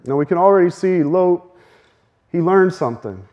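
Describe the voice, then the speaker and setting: Man, preacher, earnest sermon, slightly strained voice, somewhat high. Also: animated, dull audio. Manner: earnest, with fast bursts and soft laughs. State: voice a little strained. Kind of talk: sermon teaching.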